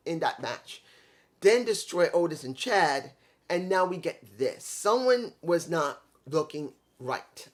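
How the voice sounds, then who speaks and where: A congested man giving a casual, blunt, opinionated solo review of a wrestling show, rambling with restarts. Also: on the high side.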